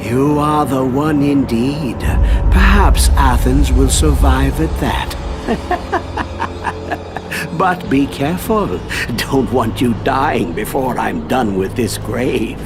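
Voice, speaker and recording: An actor playing a cryptic old gravedigger in a video game, wry and knowing. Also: male voice, mid-range voice, lively intonation, slow, music in the background. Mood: gleeful, knowing. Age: older. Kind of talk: dramatic video game acting.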